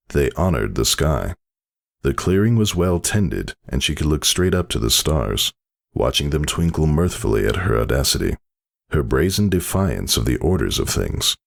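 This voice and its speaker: A man's voice: rumbling low, gravelly. A man narrating a fantasy story in a dramatic, scripted reading style.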